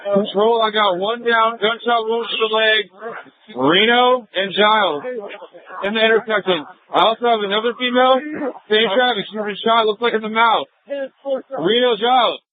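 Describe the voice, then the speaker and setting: A man's voice: high-pitched, moderate pace, long pauses. A male police officer giving urgent reports over a police radio. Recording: muffled lo-fi police scanner radio.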